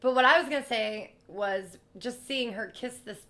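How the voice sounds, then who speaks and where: A woman's voice: a little high, moderate pace, sharp tone. A woman co-hosting a podcast, chatting informally about movies with plenty of filler words. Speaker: podcast co-host. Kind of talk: casual movie review chat.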